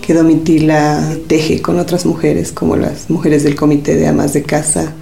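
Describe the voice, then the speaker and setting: A woman's voice: deep voice, flat delivery, moderate pace, gravelly, mellow, a little hiss or hum. A woman presenting a radio documentary and putting questions to guests as interviewer, in a prepared style. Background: faint music.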